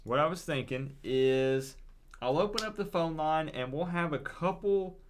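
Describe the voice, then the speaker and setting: A man's voice: a little high, measured pace, a little hiss or hum. A man hosting a casual live call-in stream, speaking informally and encouragingly straight to his viewers. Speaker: livestream host.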